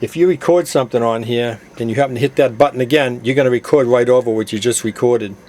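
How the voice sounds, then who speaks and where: A man's voice: medium pitch, fast talker, a crowd in the background. A man giving a casual, plain-spoken hands-on product demonstration, explaining it step by step. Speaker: product demonstrator, CB radio gadget seller.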